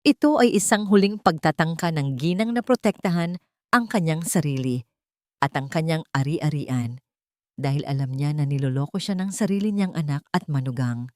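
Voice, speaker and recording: A woman's voice: low-pitched, normal speed, a little mellow, dry recording, studio quality, close to an everyday voice. A woman voicing an earnest, loyal male lawyer in an audio drama, speaking formally and respectfully.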